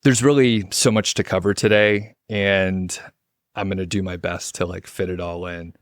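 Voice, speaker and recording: A man's voice: low voice, steady tone, normal speed, no echo, clean studio sound. A man hosting a podcast, talking in a friendly, informal way with lots of casual fillers. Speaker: podcast host. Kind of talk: casual interview chat.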